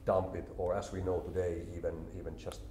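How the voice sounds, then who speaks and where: A man, a company CEO explaining his work calmly and in a measured way in an interview. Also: deep voice, slight background noise. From Finland. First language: Finnish. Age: 40s. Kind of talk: business interview. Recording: roomy echo, faint ambience.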